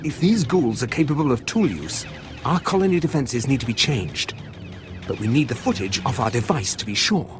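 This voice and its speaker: An earnest male professor voiced by an actor with a mid-pitched, lively voice. He explains like an academic in a game cutscene, with music clearly behind. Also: moderate pace, close to an everyday voice. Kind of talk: video game cutscene acting.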